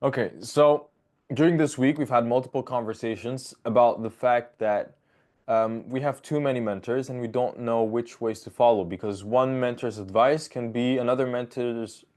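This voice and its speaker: A young man hosting a casual podcast over a video call from his bedroom, talking in a loose, rambling way with quick jokes. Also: mid-range voice, steady tone, darkish tone. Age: young adult. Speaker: podcast host. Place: bedroom at home. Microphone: video call webcam.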